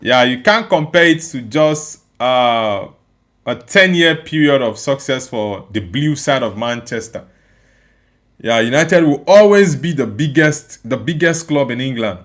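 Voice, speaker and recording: Nigerian man slowly reacting to live football. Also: medium pitch, animated, a bit bright. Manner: casual, repeats phrases, chats with viewers. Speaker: watchalong streamer. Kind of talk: live football watchalong, reading chat.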